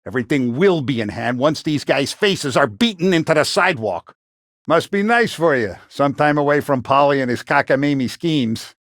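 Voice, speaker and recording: Tough, animated Italian-American mob boss. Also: male voice, a little high, moderate pace, dry recording, clean studio sound. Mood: bitter, vengeful. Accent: American, Italian-American gangster. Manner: streetwise, blunt, authoritative. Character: tough middle-aged Italian-American mafia boss, commanding and vengeful. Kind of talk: video game voice acting, 1930s gangster talk.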